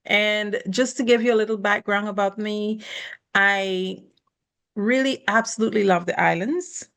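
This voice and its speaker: A woman's voice: mid-range voice, measured pace, gravelly, fairly bright tone. Earnest woman from the Caribbean. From Caribbean, born in Guyana, raised in Barbados. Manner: gracious, earnest, laughs lightly between thoughts. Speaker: podcast guest. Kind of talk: personal storytelling in conversation.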